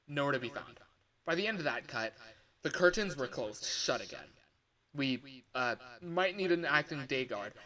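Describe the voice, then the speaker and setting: A man's voice: a little high, low-quality recording. A man voicing an upbeat, chatty male office worker briefing a night guard in a scripted game monologue. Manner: loud, exclamatory greetings, instructional. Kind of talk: scripted video game phone-call monologue.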